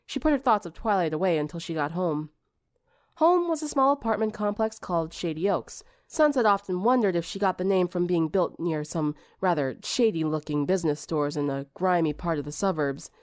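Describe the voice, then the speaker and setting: A woman's voice: on the low side, expressive, brisk, darkish tone, no room sound, studio quality. A woman reading scripted fiction as an audiobook narrator.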